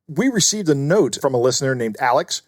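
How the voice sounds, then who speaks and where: A man's voice: mid-range voice, animated, brisk, no echo. Co-host: American man, mid-range voice, conversational, comfortable reading correspondence aloud, recorded very dry. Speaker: podcast co-host. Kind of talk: casual chat and reading out listener mail.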